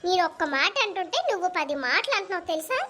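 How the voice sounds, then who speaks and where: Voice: very high voice, animated, very fast, pushed and bright, heavily acted. A performer voicing a cheeky, argumentative little schoolboy in a comedy cartoon, with a Telugu accent and a mock-innocent manner. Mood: cheeky back-talk.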